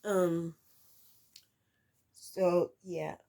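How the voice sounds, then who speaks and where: A woman's voice: lowish voice, little pitch movement, drawn-out words, creaky, warm tone. A woman vlogging casually to camera, congested and nasal from a cold, rambling with lots of fillers and restarts. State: stuffy nose from a cold.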